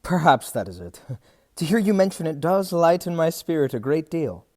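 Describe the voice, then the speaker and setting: A man's voice: a little high, very animated, moderate pace, a little mellow. A man playing a proud, disdainful military captain in an audio drama, with haughty, formal period speech. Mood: amused, boastful.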